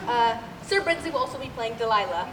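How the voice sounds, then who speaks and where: A woman's voice: a little high, lively intonation, brisk, natural voice. A young woman playing a pompous, domineering radio playwright and announcer, grandiose and bossy, in theatrical stage comedy. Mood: flustered formality. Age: young adult. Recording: roomy noisy stage hall, far mic.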